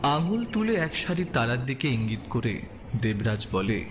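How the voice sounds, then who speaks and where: A man's voice: medium pitch, very animated, normal speed. A man narrating a scripted suspense audio story as the storyteller. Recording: long reverb, clear background ambience noise.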